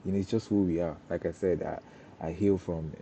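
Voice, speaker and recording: A man's voice: low voice, fairly even intonation, brisk, dark tone, lo-fi sound, a little hiss or hum. A young Nigerian man, a Yoruba speaker, giving an informal talk on breaking into cybersecurity, often restarting and repeating words as he explains. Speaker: cybersecurity trainer.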